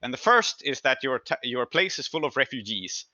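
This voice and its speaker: A man running a tabletop game, narrating in a halting, conversational way as he thinks aloud. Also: medium pitch, very animated, bright, forceful tone, no room sound, lo-fi sound. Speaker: game master.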